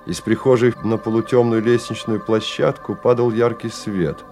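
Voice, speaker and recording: A man with a very low, mellow voice narrates a radio play. His phrasing is measured and deliberate, with dramatic pauses over quiet music. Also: steady tone.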